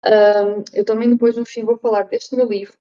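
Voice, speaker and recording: A woman with a European Portuguese accent, raised in Portugal, giving an online talk slowly and deliberately, with many fillers. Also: a little high, level tone, warm tone, lo-fi sound. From Brazil, raised in Portugal. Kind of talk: live online presentation.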